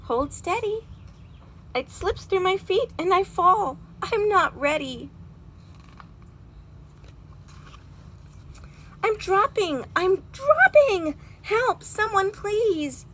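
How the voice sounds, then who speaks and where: A woman voicing a small, nervous, excitable baby robin in a dramatic storybook read-aloud. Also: very high voice, takes it slowly, pitched above the performer's own voice, full character voice. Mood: panicked. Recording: outdoor background noise.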